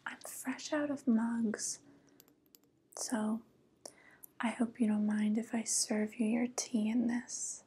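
A young woman playing a softly coaxing evil witch in an ASMR roleplay, whispering slowly and gently, close to the microphone. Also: fairly high pitch, full character voice. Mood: admiring, coaxing. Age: young adult. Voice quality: breathy whisper. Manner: slow, gentle, flattering, falsely kind. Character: soft, coaxing evil witch luring a guest.

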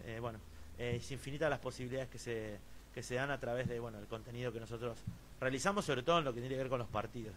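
A man's voice: medium pitch, a bit bright, slight background noise. A man with an Argentine accent hosts a local futsal news stream, reading scores and scorers with frequent fillers and restarts. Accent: Argentine Spanish. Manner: many fillers and restarts, reads long lists of scorers. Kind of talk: sports news roundup, reading results.

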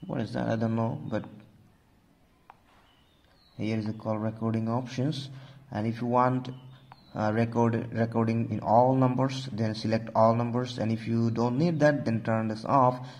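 A man with a mid-pitched voice hosts a phone tutorial. He narrates informally, guiding the listener step by step and often returning to the same stock phrases. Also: steady tone, a little slow, darkish tone. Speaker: tech tutorial host.

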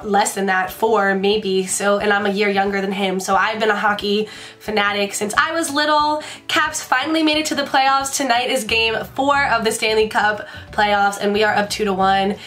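A woman's voice: mid-range voice, steady tone, moderate pace, a bit bright. A young woman in her twenties from the Washington DC area, chatting casually to camera in her bedroom, congested from a sinus infection and rambling in a bubbly way. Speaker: beauty vlogger. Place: bedroom, indoors.

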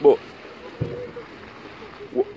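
Slow, rambling man in noisy outdoor recording. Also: narrow, tinny audio. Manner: rambling, repetitive, halting. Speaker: puppeteer of plush toys. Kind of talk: improvised plush toy skit. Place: outdoors on grass at night. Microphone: handheld phone camera close by.

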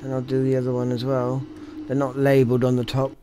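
A man's voice: medium pitch, monotone, fast. A man giving casual commentary as a repair technician, musing aloud while he works at the bench. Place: indoor electronics workshop.

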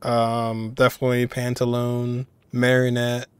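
A man's voice: mid-range voice, completely flat delivery, measured pace, clear, resonant tone, no room sound. A man in his twenties giving informal game reaction commentary, musing and thinking aloud. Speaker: reaction video host.

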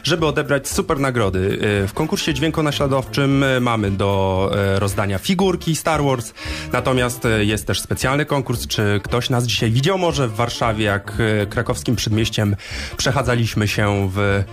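Male radio host, mid-pitched, chatty, music bed underneath. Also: moderate pace. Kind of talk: casual chatty contest show talk. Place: radio studio.

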